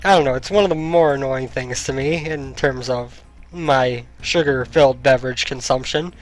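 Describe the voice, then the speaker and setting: A young man commenting casually while playing a video game, thinking aloud with many ums, his voice getting slightly hoarse. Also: mid-range voice, moderate pace, rough recording. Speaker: gaming YouTuber. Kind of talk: casual let's-play commentary. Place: home room, indoors. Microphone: headset mic. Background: faint game music.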